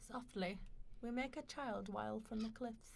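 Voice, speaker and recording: A woman playing a proud, sharp-tongued young mistress in a radio play, ambitious and defiant, with a cutting, impassioned delivery. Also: fairly high pitch, measured pace, gravelly.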